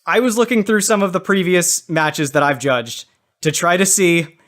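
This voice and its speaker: A man's voice: sits high, moderate pace, fairly bright tone. A man giving casual, long-winded explanations as the judge on a comedy debate podcast.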